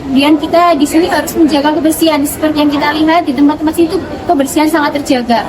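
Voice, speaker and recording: High, quick young woman in noise. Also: steady tone, crowd noise. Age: young adult. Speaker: interviewee, museum visitor. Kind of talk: informal on-location interview answers. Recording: clearly noisy public-place recording.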